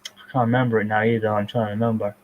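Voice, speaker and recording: A man's voice: level tone, racing, dry recording. Rambling man on a muffled call. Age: 30s. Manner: rambling, self-described distinctive high voice. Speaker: filmmaker giving commentary. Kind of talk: casual commentary chat.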